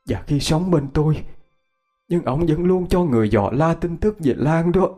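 A man's voice: a little high, moderate pace, warm tone, faint music behind, pitched above the performer's own voice, lightly acted. A man voicing an older wife who pleads earnestly and insistently in a dramatic story. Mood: earnest pleading.

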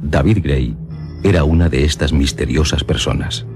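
A man reading scripted radio narration in a solemn, measured storytelling manner. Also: rumbling low. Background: music behind the voice.

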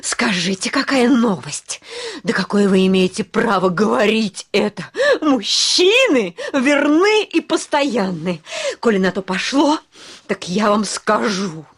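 An actress playing a passionate, indignant young widowed landowner in a theatrical, haughty manner in a classic radio play. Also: female voice, medium pitch, lively intonation, measured pace, husky. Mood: wounded, impassioned. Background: music.

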